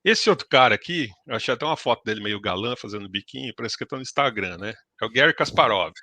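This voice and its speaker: A man's voice: medium pitch, lively intonation, fast talker, sharp tone, dry recording. A man teaching a live online lesson, explaining things in a casual, chatty way. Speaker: instructor.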